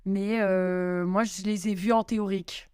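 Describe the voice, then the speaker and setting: A woman's voice: mid-range voice, fairly even intonation, unhurried, a little mellow, smooth, dry recording, clean studio sound. A young woman guest answering interview questions in casual French, hesitant, with many fillers and restarts. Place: home in Italy.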